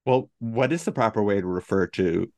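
A man's voice: fairly low pitch, lively intonation, moderate pace, dark tone, dry recording. A man co-hosting a book podcast who speaks in a dry, teasing way. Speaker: podcast co-host. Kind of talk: casual book discussion.

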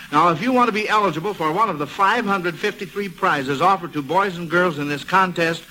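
A man with an American accent hosting a 1940s radio show, pitching to children in a folksy, eager salesman's manner on a vintage broadcast recording. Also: sharp tone, slight background noise, poor audio. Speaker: quiz show host.

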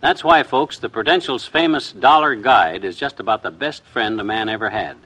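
Folksy mid-pitched radio husband. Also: male voice, animated, normal speed, sharp tone, husky, poor audio. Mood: folksy, sincere. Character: folksy, easygoing husband pitching life insurance. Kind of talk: old-time radio sponsor skit.

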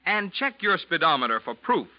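Animated, high-pitched male vintage radio announcer. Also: normal speed. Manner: enthusiastic salesman delivery, punchy. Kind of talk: 1940s radio commercial read. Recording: muffled 1940s radio recording.

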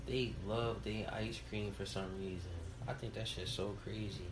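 Low-voiced Philly guy in slangy chat. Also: fairly even intonation, normal speed. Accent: Philadelphia. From Philadelphia. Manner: slangy, laid-back banter. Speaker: podcast host. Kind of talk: casual chat. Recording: echoey room with background noise.

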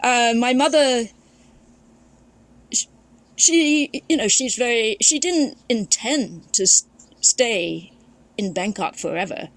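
A woman's voice: fairly high pitch, lively intonation, takes it slowly, pauses often, no room sound. A woman from Thailand, a podcast guest and memoirist, recalling her family history in a reflective storytelling way, often restarting her sentences. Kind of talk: casual conversation.

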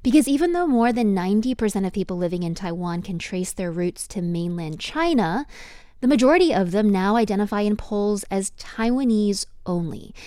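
A woman correspondent explaining a story in a calm, conversational way. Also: medium pitch, normal speed, dry recording, clean studio sound. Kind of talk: conversational reporting.

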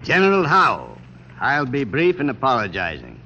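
An actor playing a grave, commanding army general in old radio drama, speaking in a formal, stately way. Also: male voice, mid-range voice, huge pitch swings, moderate pace, pushed and bright, dull audio, heavily acted. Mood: formal, resolute. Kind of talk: 1940s-50s radio drama acting. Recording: faintly noisy old radio recording.

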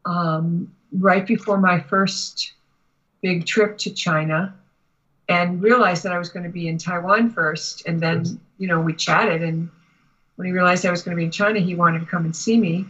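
An American woman talking conversationally as a guest spiritual teacher, explaining and telling anecdotes in a measured, deliberate way. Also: lowish voice, steady tone, fairly bright tone, highs cut off. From United States. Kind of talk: conversational interview talk with anecdotes.

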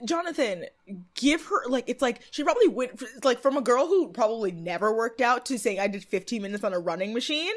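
A woman in her late twenties, co-hosting a podcast, recapping a TV episode in casual, profane, lively chat. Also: fairly high pitch, brisk. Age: 20s. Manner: animated, profane, lively storytelling. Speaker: podcast co-host.

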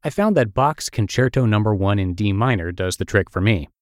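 A man's voice: lowish voice, lively intonation, a little fast, no room sound, studio quality. A middle-aged man with a Russian accent reading his own essay aloud in a wry, self-deprecating storytelling manner. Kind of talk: read-aloud essay narration.

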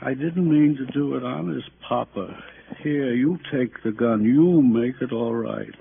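A tired, guilt-laden father getting on in years in an American radio play. He speaks in plain, brooding phrases at a medium pitch. The old broadcast is thin and clearly noisy. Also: a little slow, phone-quality sound. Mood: sorrowful. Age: middle-aged or older. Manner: plain-spoken, ruminating. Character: weary, guilt-ridden aging father confessing to a cover-up. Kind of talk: 1950s American radio drama acting.

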